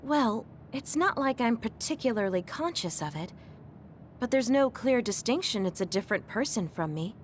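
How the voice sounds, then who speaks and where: An actress playing a gentle, polite and self-effacing young woman in a video game. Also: on the high side, sing-song, normal speed, a little hiss or hum. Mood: thoughtful, reassuring. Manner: polite, apologetic.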